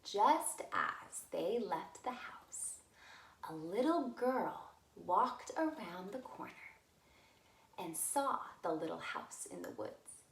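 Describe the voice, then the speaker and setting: Female children's storyteller, very expressive melodic swings, unhurried, recorded in a roomy space. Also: mid-range voice. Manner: simple, deliberate phrasing addressed to small children. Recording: roomy, echoey room sound.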